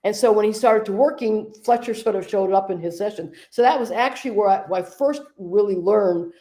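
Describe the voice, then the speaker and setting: A woman hosting a conversation, a medium telling stories in a relaxed, discursive way. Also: mid-range voice, brisk. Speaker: host and trance medium. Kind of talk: casual conversation.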